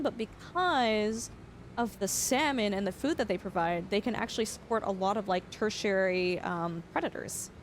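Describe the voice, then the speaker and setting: A young woman streaming a farming game, chatting casually and explaining facts with lots of fillers, her voice slightly hoarse. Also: medium pitch, a little hiss or hum. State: voice going hoarse. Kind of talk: casual live-stream chat.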